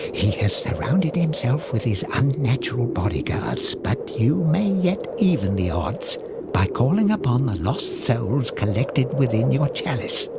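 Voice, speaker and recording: An actor playing a solemn ghostly hero who gives guidance in a video game cutscene. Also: male voice, mid-range voice, heavy background noise. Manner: solemn, ceremonial.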